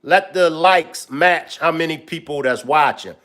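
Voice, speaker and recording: A man hosts a live stream, chatting loosely with his audience. His voice sits a little high. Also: measured pace, fairly bright tone. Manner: loose, chatty, talks straight to viewers. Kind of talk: casual live-stream commentary.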